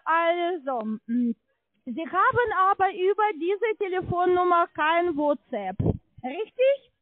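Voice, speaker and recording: A woman with a foreign accent in German making a halting phone sales pitch, often searching for words. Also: expressive, no room sound. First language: not German. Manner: halting, hesitant speech with frequent searching for words. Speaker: telephone sales agent. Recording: tinny narrowband phone line.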